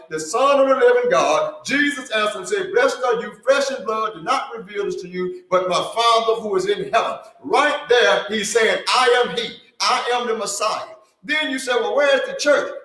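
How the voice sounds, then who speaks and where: A man preaching a sermon, building emphasis through repeated phrases and rising, forceful lines. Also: sits high. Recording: echoey room from far off.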